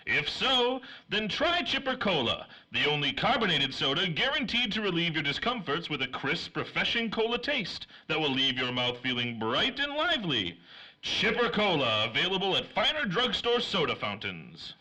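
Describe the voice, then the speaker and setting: A man playing a peppy announcer and pitchman on a 1930s radio serial. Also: sing-song, normal speed, sharp tone, full character voice, poor audio. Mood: peppy, selling. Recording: music under the voice. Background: music.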